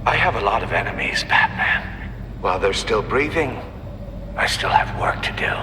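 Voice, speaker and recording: Male actor for menacing game villain, gloating and theatrical, animated intonation, reverberant with noisy ambience. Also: lowish voice, lightly acted. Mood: taunting, menacing. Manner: theatrical, taunting, drawn-out menace. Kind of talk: video game voice acting.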